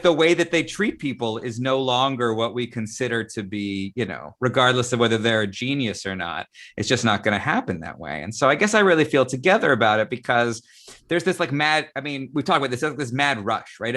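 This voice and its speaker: A man with a bright, forward voice hosts an informal live chat. He speaks conversationally and emphatically, feeling his way through each thought. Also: mid-range voice. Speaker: talk show host, theatre director. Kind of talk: casual live online chat.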